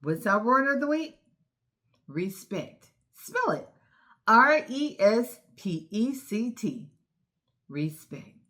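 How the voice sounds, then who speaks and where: Animated woman hosting children's storytime. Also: on the high side, takes it slowly, long pauses. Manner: encouraging, instructive, addresses young children with questions. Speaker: children's read-aloud host and teacher.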